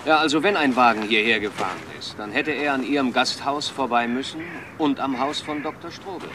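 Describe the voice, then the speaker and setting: An actor playing a matter-of-fact police investigator in a crime radio play. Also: male voice, medium pitch, fairly quick, background noise, lightly acted. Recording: faint outdoor ambience.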